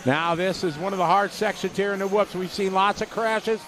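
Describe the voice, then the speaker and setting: A man calling a radio-controlled car race live, giving quick play-by-play and reading out viewer messages. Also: high-pitched, steady tone, fairly bright tone, a little hiss or hum. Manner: rapid play-by-play, reads viewer chat aloud. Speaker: race commentator.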